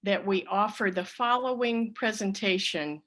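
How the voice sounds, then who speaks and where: Slow-paced, bright-voiced woman leading worship. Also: medium pitch. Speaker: worship service leader. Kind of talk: gentle, scripted church service welcome.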